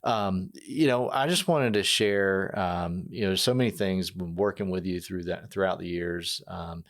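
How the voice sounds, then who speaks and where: A man hosting a conversational podcast interview, working through his questions in a halting, meandering way. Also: low voice. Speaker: podcast host, company manager.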